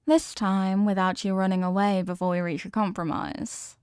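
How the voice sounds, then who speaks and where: A woman's voice: medium pitch, flat delivery, moderate pace, a little mellow, smooth, dry recording, clean studio sound, lightly acted. A woman playing an obsessively loving, possessive wife in an intimate ASMR roleplay, coaxing and melodramatic, lingering on her words. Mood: controlling, smug.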